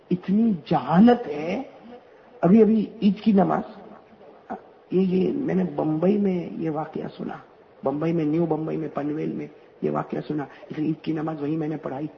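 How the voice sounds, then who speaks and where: A man's voice: on the high side, gravelly, a little hiss or hum. A man preaching to a gathering, repeating his phrases and calling out questions for the crowd to answer. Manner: repetitive, rhetorical, calls out questions to the crowd. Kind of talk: religious speech to a gathering. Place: religious gathering. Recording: dull lo-fi recording.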